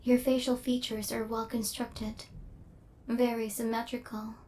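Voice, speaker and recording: A woman voicing a female killer robot in an ASMR roleplay, speaking in formal, clinical, literal phrases with a stern yet softening temper. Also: on the high side, little pitch movement, measured pace, slight background noise, natural voice. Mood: soft, tentative.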